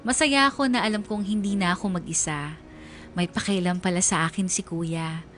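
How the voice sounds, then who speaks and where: A woman's voice: lowish voice, moderate pace, a bit bright, soft background music, natural voice. A young woman narrating as a troubled, confused daughter confiding in a Filipino radio drama. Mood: pensive, relieved.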